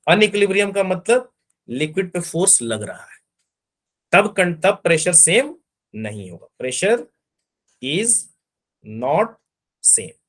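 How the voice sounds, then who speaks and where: Guy teaching physics online, slightly high voice, keeps asking if students get it. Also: very animated, slow pace, long pauses, a bit bright. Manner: explains step by step, often checks students understand. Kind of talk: online class lecture.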